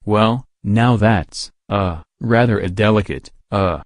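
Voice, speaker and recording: A man's voice: fairly low pitch, slow, no echo. A man playing a loyal department store boss in a radio play. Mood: uneasy.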